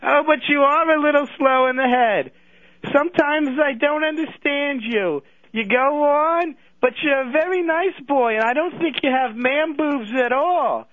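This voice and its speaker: A man's voice: very high-pitched, no room sound, phone-quality sound. A man on a radio show voicing a fawning, dotty old male phone-in caller who rambles and coos. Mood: teasing. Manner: rambling, cooing and drawn-out.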